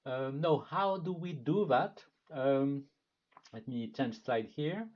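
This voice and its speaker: A man with a French accent giving a product marketing presentation in a webinar, speaking in a measured way with frequent filler sounds. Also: medium pitch, animated. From France. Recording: dull lo-fi webinar audio.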